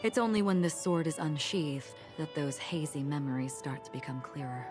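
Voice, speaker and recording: A low-voiced, enigmatic female wanderer with a sword , played by a woman, speaks in grave, measured phrases. She is calm and melancholic, a video game character with music playing clearly behind her. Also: natural voice. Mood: quiet, reflective. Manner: measured, grave, philosophical delivery. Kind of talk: scripted game voice acting.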